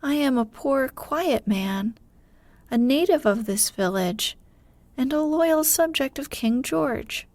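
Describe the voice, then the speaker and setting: A bewildered old villager with a long beard , acted out by a woman. The storybook voice is plaintive and humble, pitched fairly high and unhurried, with very little room sound. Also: lively intonation, darkish tone, no echo, exaggerated voice. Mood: pleading, humble. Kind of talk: storybook character voice.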